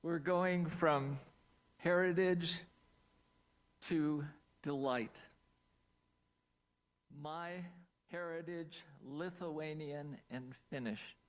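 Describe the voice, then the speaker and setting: A man's voice: on the high side, fairly even intonation, very slow, long pauses, poor audio. A man from Pennsylvania giving a talk over a video call as a storyteller, lingering on single words, with playful asides. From Pennsylvania, USA.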